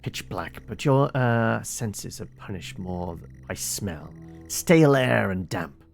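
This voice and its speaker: A man with a fairly low, gravelly voice streams a text game. He swings widely in pitch as he reads aloud with drama, then drops into halting mutters and casual asides. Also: animated, faint music behind. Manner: halting, muttering typed commands, dramatic when reading aloud.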